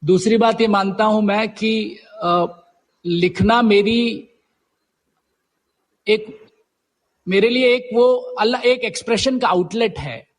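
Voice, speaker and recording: A man's voice: sits high, slow, pauses often, poor audio. A middle-aged man answering as a guest writer in a conversational interview, hesitantly searching for his words.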